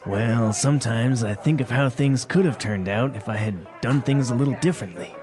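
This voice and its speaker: A man's voice: mid-range voice, moderate pace, natural voice. A man playing a wistful man musing on the past. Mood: reflective. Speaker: dialogue voice actor. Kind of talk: scripted dialogue for learners. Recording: music and crowd noise behind.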